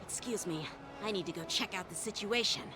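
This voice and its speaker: A woman's voice: medium pitch, brisk, natural voice. A woman voicing an earnest, dutiful teenage boy swordsman in an anime game. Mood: concerned. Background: game music.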